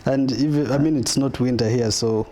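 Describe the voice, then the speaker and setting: A man hosts a conversation and puts probing questions to his guest. His voice stays flat and even in pitch. He often completes the guest's thoughts for him. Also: moderate pace, slight background noise. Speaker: interviewer host. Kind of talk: conversational interview.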